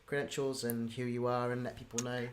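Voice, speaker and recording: A man's voice: medium pitch, level tone, fairly quick, slight background noise. A man hosting a casual interview, talking in an informal, rambling and enthusiastic way. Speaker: interview host.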